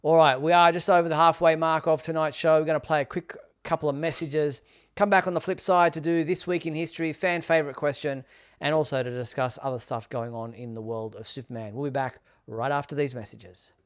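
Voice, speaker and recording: Male live show host, halting casual delivery. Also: fairly high pitch, fairly even intonation, clean tone.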